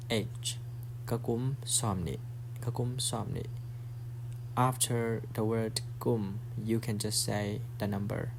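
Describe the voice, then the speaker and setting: A man teaching a language lesson in a casual, patient way, slowly repeating phrases for learners to practice. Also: lowish voice, creaky, a little hiss or hum.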